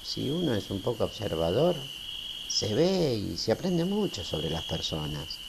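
A man's voice: on the low side, sing-song, creaky, background noise, heavily acted. An actor playing an easygoing, teasing small-town railway man in a radio drama, folksy. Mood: reflective, probing. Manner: folksy, unhurried, conversational.

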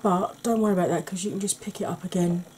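Soft-whispering Welsh woman, mellow voice. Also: fairly low pitch, steady tone, fast, a little hiss or hum. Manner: soft, often whispered, chatty and mildly sarcastic. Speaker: beauty YouTuber. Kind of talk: ASMR makeup tutorial. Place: indoors at home, face in close-up.

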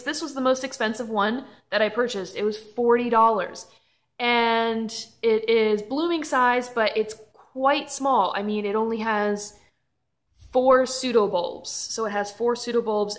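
A young woman with a Southern US accent chats casually from a room at home. She is enthusiastic and a little rambling, and she laughs easily. The sound is from a webcam on her desk. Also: a little high. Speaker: orchid channel host. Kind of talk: casual chatty vlog.

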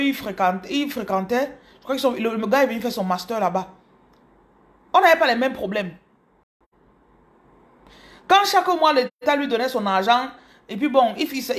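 Cameroonian woman in her thirties, higher voice, animated phone vlog to followers. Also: a little fast. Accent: Cameroonian French. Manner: animated, repeats words, addresses viewers directly. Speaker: business coach. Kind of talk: casual motivational storytelling. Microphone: phone camera held close.

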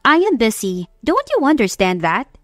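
Lady doing snappy rich girlfriend, irritable n evasive, radio drama, high pitch, music behind. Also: big pitch swings, moderate pace, fairly bright tone, no echo, studio quality. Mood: irritated, angry. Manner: snappy, mixes in English. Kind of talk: Tagalog audio drama acting.

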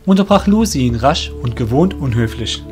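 A man's voice: medium pitch, lively intonation, moderate pace, darkish tone, soft background music. A man narrating a scripted audio drama as its storyteller.

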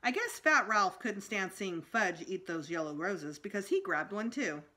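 A woman's voice: mid-range voice, expressive, moderate pace, bright, forceful tone. A middle-aged woman reading a children's book aloud expressively, as for a school storytime. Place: small indoor room at home.

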